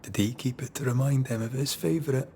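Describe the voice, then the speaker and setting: An actor playing a steady, dryly witty ghost hunter in a video game, speaking in an understated, earnest way. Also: male voice, mid-range voice, fairly quick, a little hiss or hum, natural voice. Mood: dryly teasing. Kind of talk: cinematic game dialogue.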